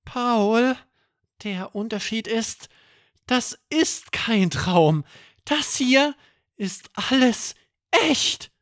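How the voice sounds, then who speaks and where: A young boy in a children's story, played by a man, high-pitched and full of wonder. He is curious, friendly and easily startled. His questions swing up and down in a very lively melody. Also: very slow, no room sound, clean studio sound, full character voice. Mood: amazed. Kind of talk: children's bedtime story character acting.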